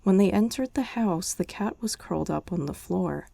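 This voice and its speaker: A woman reading a bedtime story in an ASMR girlfriend roleplay, speaking softly and chatting to the listener, close to the mic. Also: medium pitch, normal speed, vocal fry, dry recording. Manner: soft, chatting to the listener between lines.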